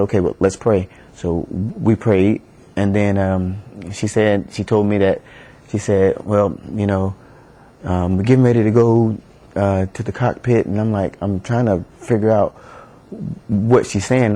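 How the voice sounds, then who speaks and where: Man, middle-aged, soft dark tone, halting delivery, interview recollection. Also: lowish voice. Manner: halting, with repeated words and pauses. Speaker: bereaved husband giving an interview. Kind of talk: personal recollection in a media interview.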